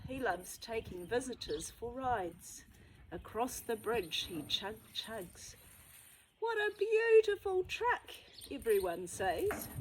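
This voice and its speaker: Woman reading a kids book, slow n expressive, bit high voice, noisy outdoor recording w birds. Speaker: storybook reader. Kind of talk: expressive children's read-aloud.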